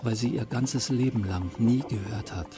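A man, a composer, narrating his thoughts on his work in a measured, reflective way. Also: medium pitch. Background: music.

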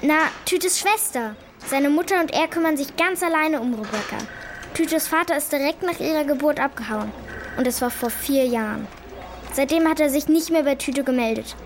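A woman's voice: high voice, moderate pace, a little hiss or hum. A female performer playing an earnest, insistent young schoolgirl in a German children's radio play, blunt and worried. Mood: adamant, worried. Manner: blunt, insistent, hesitant when unsure.

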